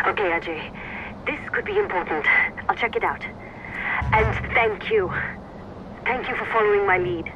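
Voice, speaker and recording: An actress playing a pragmatic female rebel strategist speaking over a radio in a video game, brisk and urgent. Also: mid-range voice, expressive. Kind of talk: video game cutscene acting. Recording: muffled radio transmission with hiss.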